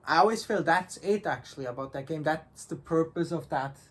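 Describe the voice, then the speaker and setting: A man with a slightly high voice talks casually as a reviewer. He speaks in a halting way, doubling back on phrases and leaving thoughts half finished. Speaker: visual novel reviewer and co-host. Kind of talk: casual discussion.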